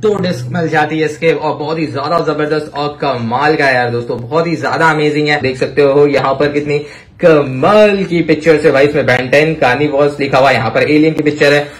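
A man hosting a toy showcase, talking to viewers with excitable, repetitive exclamations. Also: a little high, brisk. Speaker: toy review video host. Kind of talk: enthusiastic toy showcase commentary addressed to viewers. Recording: clear background noise with clicks.

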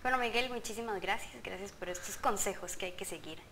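A woman's voice: medium pitch, fast, sharp tone, slight background noise. A young woman hosting a TV studio interview, chatty and prompting, often finishing the guest's sentences. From Central America. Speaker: TV host. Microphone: lapel.

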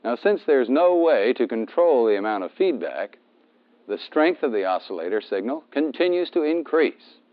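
American man narrating, lively pitch, vintage soundtrack. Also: moderate pace. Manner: methodical, explanatory, emphatic on key terms. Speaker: instructor narrating a military training film. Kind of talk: instructional scripted narration. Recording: dull, band-limited 1970s film soundtrack.

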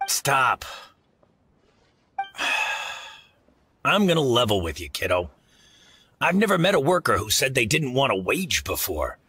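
Game merchant: exasperated yet decent, husky, forceful, bright edge, highly animated. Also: male voice, slow pace, long pauses, no room sound, heavily acted. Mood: frank, puzzled. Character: gruff, exasperated but decent market merchant man. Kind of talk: video game voice acting.

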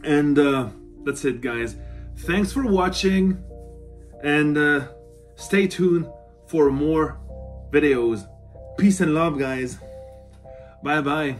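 An enthusiastic man in his thirties with a fairly high voice, chatting casually to the camera in a room at home. He talks informally, as a collector reviewing his things, with guitar music playing behind him. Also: extremely slow, long pauses. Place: small cluttered room at home, indoors.

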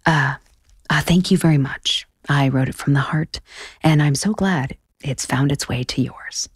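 A woman's voice: sits low, a little slow, breathy edge. A woman playing a sarcastic, exasperated author in a romantic comedy audio drama. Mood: nervous, polite. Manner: incredulous, sardonic.

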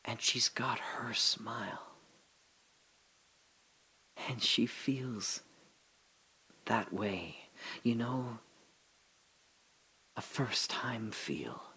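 An actor playing a dying older father, telling his memories in a halting, breathy dramatic monologue that drops to whispers. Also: medium pitch, bright, forceful tone, poor audio. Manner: halting, breathy, emotional, many pauses and restarts. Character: dying old father recalling his daughter's birth.

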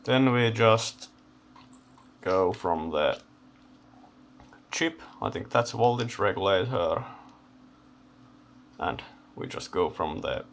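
Male teardown host, gravelly and lowish, off-the-cuff halting commentary, slight background noise. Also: a bit bright. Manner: halting, thinking aloud in short phrases. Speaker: host of electronics teardown videos. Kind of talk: unscripted hands-on teardown commentary. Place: indoor electronics workbench.

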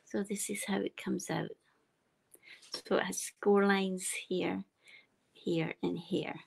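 A woman with a soft, quiet voice gives a relaxed, chatty card-making demonstration from her craft desk. Her voice is strongly gravelly with vocal fry, and her pitch sits in a middle range. She walks through each step casually as she works. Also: takes it slowly, slight background noise. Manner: chatty, explaining steps while working.

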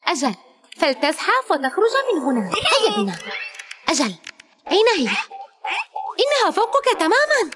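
A woman's voice: very high voice, huge pitch swings, normal speed, long pauses, heavy background noise. A woman narrating a children's educational cartoon in an exclamatory way, speaking directly to a character.

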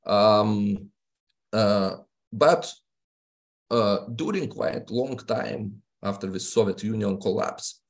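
Slow, gravelly middle-aged man, Russian native. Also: lowish voice. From Lithuania. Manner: halting, many filler sounds, non-native phrasing. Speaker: seminar speaker. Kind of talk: academic lecture over video call. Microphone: video call headset or webcam mic.

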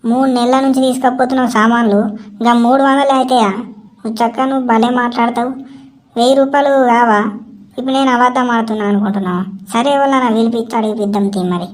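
Quick, insistent village shopkeeper played by a woman. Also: fairly high pitch, fairly even intonation, natural voice. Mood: indignant. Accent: Telangana Telugu. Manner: insistent, scolding, repetitive. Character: exasperated, no-nonsense village shopkeeper demanding overdue payment. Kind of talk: village comedy cartoon dialogue.

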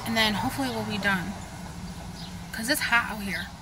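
A woman's voice: mid-range voice, moderate pace, noisy background. A young woman chatting casually through a grocery haul vlog, enthusiastic about the food she shows, speaking into a handheld camera in her car and later in her kitchen. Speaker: vlogger. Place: parked car, then kitchen.

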